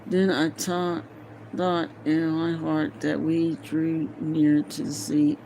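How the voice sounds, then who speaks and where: A woman reads aloud in a soft-edged, mellow voice at an unhurried pace. She stumbles over words, restarts and drops into casual muttering to herself. The homemade recording carries some faint background noise. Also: low-pitched, little pitch movement, rough recording. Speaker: amateur reader of a novel aloud. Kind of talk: informal read-aloud with casual asides.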